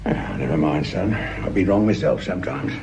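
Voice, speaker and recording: A man playing a gruff, world-weary older police sergeant with a British accent, grumbling and sardonic in a radio crime drama. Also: deep voice, animated, moderate pace, a little mellow. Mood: wry, hushed. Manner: grumbling, sardonic, hesitant muttering. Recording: noisy radio drama track with ambience.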